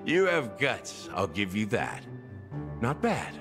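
A man's voice: on the low side, wildly swooping pitch, slow, heavily acted. A man voicing a scruffy, defiant security officer and bartender in a video game, taunting and sarcastic with theatrical flair. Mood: menacing, amused. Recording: loud music behind the dialogue.

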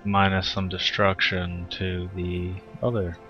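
Low voiced guy rambling through his game, chatty, game music going behind him. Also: monotone, slow pace, dull audio. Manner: chatty, thinks aloud while playing. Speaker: gaming streamer. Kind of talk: casual gameplay commentary.

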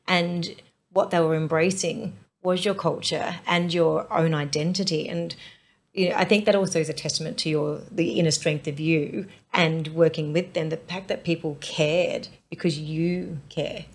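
Female interview host, 40s, deep voice, effusive and chatty. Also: steady tone, normal speed, slightly rough. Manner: effusive, gushing, many affirmations. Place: bright studio set, indoors.

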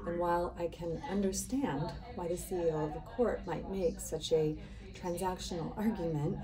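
A woman public defender giving firm, measured answers in a street interview. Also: low-pitched, recorded from a distance, rough recording.

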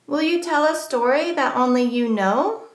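A woman reading a rhyming children's picture book aloud as a storyteller, with measured, deliberate phrasing for young listeners. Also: sits high, reverberant.

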